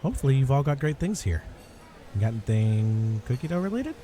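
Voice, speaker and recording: Guy acting as a chatty awkward nice male cafe customer, warm relaxed voice, bouncy intonation, roleplay audio. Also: mid-range voice, a little fast, soft background music, close to an everyday voice. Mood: chatty, upbeat. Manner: hesitant, rambling, good-natured. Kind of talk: ASMR comedy roleplay acting.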